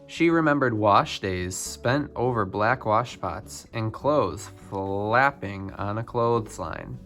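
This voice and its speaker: A man reading a children's picture book biography aloud, phrase by phrase with expressive emphasis. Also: fairly low pitch, slow pace, faint music behind. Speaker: storybook reader.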